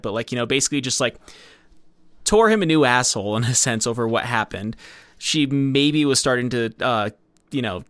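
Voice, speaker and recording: A man's voice: medium pitch, a bit bright, no room sound. A man co-hosting a podcast, explaining his take on a film in a casual, conversational way. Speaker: podcast co-host.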